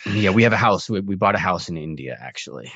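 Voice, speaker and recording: A man with a fairly low voice hosts a podcast interview. He talks informally and conversationally, drifting into chatty asides. The sound is close and dry, with very little room in it. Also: lively intonation, quick pace, vocal fry, a bit bright, no room sound, muffled recording.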